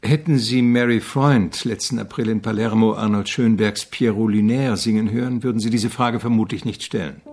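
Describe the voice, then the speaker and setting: Man playing a low-voiced, weary deadpan composer. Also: moderate pace, few pauses, natural voice. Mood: deadpan, evasive. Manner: polite, deadpan, evasive. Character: weary, evasive, courteous famous male composer. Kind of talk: radio play acting.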